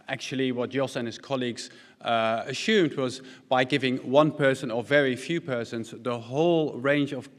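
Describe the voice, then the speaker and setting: Dutch-accented man presenting in a hall. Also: mid-range voice. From Netherlands. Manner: explanatory, frequent ums and uhs. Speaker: conference speaker. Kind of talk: conference presentation. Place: large conference hall. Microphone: stand mics at a podium. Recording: long hall reverb.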